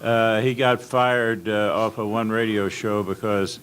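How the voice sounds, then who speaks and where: An older American man telling anecdotes as a guest on an informal panel, halting and rambling as he reminisces. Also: on the low side, fairly even intonation, a bit bright. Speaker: panel guest at old-time radio convention. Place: indoor convention panel room. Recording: clearly noisy convention room recording.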